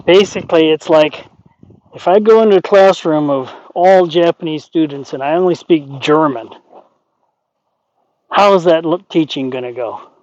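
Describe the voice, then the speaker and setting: A man's voice: on the high side, darkish tone, dull audio. Folksy man teaching horse training. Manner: folksy, rambling, plain-spoken. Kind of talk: explaining horse training while working. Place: outdoors at a horse pen.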